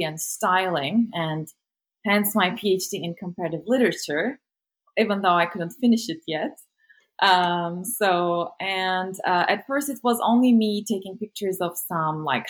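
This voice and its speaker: A woman from Turkey, a native Turkish speaker, talking as a guest in a casual interview, hesitant and full of fillers. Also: on the low side. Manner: hesitant, many fillers, laughs easily. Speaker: guest, content creator.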